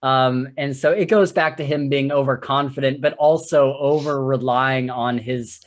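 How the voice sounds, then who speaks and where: A man's voice: medium pitch, steady tone, normal speed. A man with a mid-range voice speaks as a podcast co-host in a loose, casual discussion. He comes across as an enthusiastic fan, talking informally with friends.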